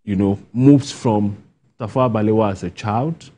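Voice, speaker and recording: A man with a Nigerian accent answers questions in a broadcast interview. His voice is soft-edged and mellow. He sounds earnest and leans on points, repeating phrases for emphasis. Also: fairly low pitch, moderate pace. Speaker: playwright and guest.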